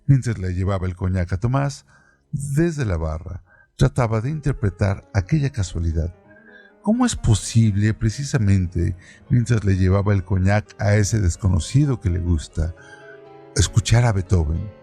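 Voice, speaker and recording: A man reading literary prose aloud as a narrator in a slow, deliberate way. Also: fairly low pitch, expressive, vocal fry, warm tone, soft background music.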